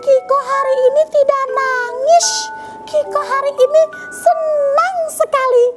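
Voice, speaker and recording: A woman voicing a brave, excitable little boy rabbit with eager, childlike exclamations in a children's story. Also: extremely high pitch, unhurried, over music, pitched above the performer's own voice. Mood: excited, proud.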